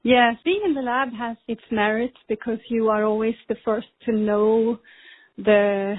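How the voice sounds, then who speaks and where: A woman, speaking as a guest in a podcast interview, measured with frequent ums and restarts. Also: on the high side, fairly even intonation, husky, no echo. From Sweden. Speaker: pharma executive guest. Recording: tinny phone-like line.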